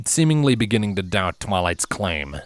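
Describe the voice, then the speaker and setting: A man's voice: lowish voice, sing-song, normal speed, no room sound. A man reading a scripted fantasy story aloud as its narrator. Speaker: fan fiction reader, narrator. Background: background music.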